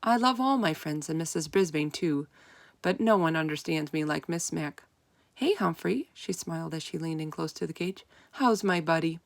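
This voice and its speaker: A woman reading a children's story aloud in an expressive, emphatic way, stretching out key words. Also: deep voice, normal speed, no room sound. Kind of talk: expressive children's read-aloud narration in first person.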